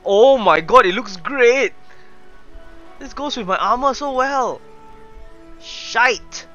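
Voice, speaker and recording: Animated, bright-voiced young man streaming. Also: very high voice, slow, lo-fi sound, soft background music. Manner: excitable, chatty, exclaiming. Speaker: gaming streamer. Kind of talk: live game playthrough commentary, reading dialogue aloud.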